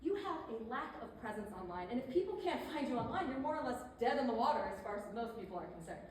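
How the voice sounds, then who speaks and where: High-voiced chatty female consultant, acted on stage. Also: big pitch swings, fast talker. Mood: coaxing. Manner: conversational, hesitant asides, upbeat persuasion. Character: chatty, pushy female image consultant, tactful salesperson. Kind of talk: theatrical stage comedy acting. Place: indoor theater stage. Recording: echoey theater, distant mic, faint noise.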